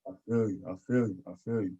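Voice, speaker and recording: Low-voiced man, dull lo-fi sound. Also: steady tone, fast, warm tone, breathy edge. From Houston area, United States. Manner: repeats his phrases. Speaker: podcast host. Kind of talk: casual podcast chat. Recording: dull, lo-fi audio.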